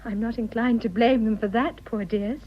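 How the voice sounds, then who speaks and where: An actress playing a sympathetic, composed young wife in a British radio drama, polite and direct in manner. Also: female voice, fairly high pitch, steady tone, normal speed, muffled recording, natural voice. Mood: sympathetic, curious.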